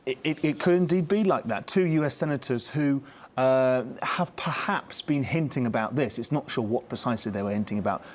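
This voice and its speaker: A man reporting live as a news correspondent, speaking off the cuff in a halting way and repeating words. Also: mid-range voice.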